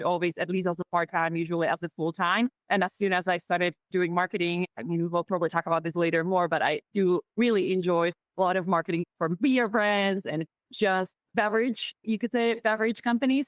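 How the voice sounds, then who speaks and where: Guest: thirties, Czech accent, chatty and upbeat, dry close sound. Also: female voice, mid-range voice, dry recording. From Czech Republic. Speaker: guest, marketing executive. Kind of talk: podcast interview.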